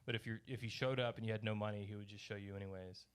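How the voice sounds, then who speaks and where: Clear-voiced man chatting casually. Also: on the low side, steady tone. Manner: halting, searching for words. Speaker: podcast host. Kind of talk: casual chat, rambling storytelling.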